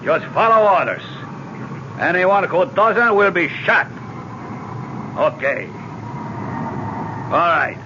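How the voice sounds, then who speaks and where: An actor playing a curt, threatening armed hijacker in a radio drama, barking clipped orders. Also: male voice, high voice, animated, takes it slowly, long pauses. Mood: curt threat. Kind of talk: 1970s radio drama acting. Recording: muffled, hissy old radio broadcast.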